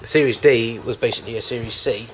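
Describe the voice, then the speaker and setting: A man with a mid-range voice talks through a collection in an informal, unscripted way. His delivery is halting and rambling, and he often corrects himself as he goes. He sounds like a hobbyist showing things off piece by piece. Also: mid-range voice, soft background music, low-quality recording. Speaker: collector showing vintage tape recorders. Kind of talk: informal unscripted show-and-tell commentary.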